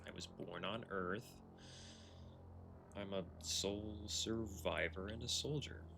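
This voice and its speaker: A man's voice: low voice, takes it slowly, gravelly, background noise. A man livestreaming a video game with casual, laid-back, offhand commentary.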